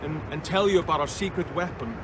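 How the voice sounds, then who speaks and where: An Icelandic man with a high voice telling jokes in a playful, deadpan way. He sounds like he is outdoors, and passing cars and street noise come through clearly behind him. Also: quick pace. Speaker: troubadour. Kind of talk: comic storytelling.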